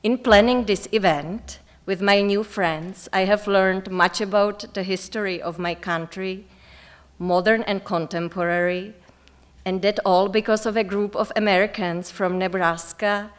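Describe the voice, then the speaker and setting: A woman's voice: mid-range voice, steady tone, measured pace. Czech-accented woman giving a formal speech. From Czech Republic. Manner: formal, read from a script. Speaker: conference speaker. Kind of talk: prepared keynote introduction. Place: lecture stage.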